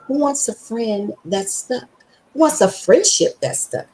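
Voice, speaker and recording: A woman hosting a podcast, with a scratchy voice, talking with excited emphasis and slowly repeating affirmations. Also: on the high side. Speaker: podcast host.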